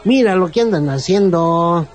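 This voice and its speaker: Baja California Mexican man, somewhat high voice, long-winded explainer. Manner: explanatory, drawn-out, acts out sayings. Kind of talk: casual group conversation.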